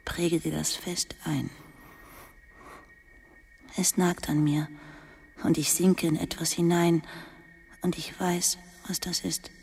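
A woman playing a dying, sorrowful schoolmistress in an intimate radio drama, breathy, half-whispered, tender and confiding. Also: lowish voice, full character voice. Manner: slow, hushed, confiding, tender. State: weak, dying (acted).

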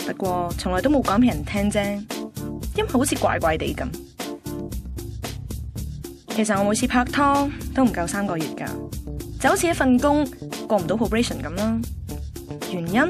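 A woman's voice: mid-range voice, close to an everyday voice. A woman with a Hong Kong Cantonese accent playing a picky, chatty host in her twenties, narrating a radio drama conversationally. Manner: cheeky, self-assured, conversational.

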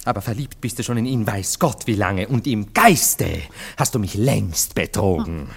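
Jealous lover guy, exasperated, very animated pitch, pushy and melodramatic radio play. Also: moderate pace, creaky, breathy edge, exaggerated voice. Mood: wounded, jealous. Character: jealous, agitated gentleman lover demanding the truth. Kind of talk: radio play acting, theatrical.

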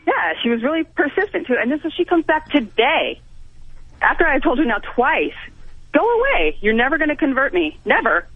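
A man's voice: extremely high pitch, sing-song, a little fast, no room sound. A man calling a radio show over a tinny phone line, casually venting with anecdotes. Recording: tinny, narrow telephone line.

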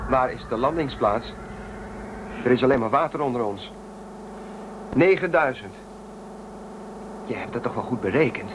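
An actor playing an anxious shuttle pilot in a science fiction radio drama, terse and commanding. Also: male voice, lively intonation, normal speed, pauses often, natural voice. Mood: tense. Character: anxious male shuttle pilot, gruff under pressure. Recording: noisy, rumbling craft ambience.

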